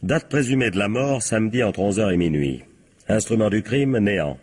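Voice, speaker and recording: An actor playing a shrewd, confident police captain in a French radio crime drama, methodical, probing and wryly polite. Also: male voice, lowish voice, expressive, normal speed, darkish tone, slightly rough. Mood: confident, analytical.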